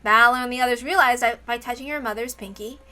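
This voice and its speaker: Female story narrator for a visual novel read-aloud: high, bright voice over background music. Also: fairly quick.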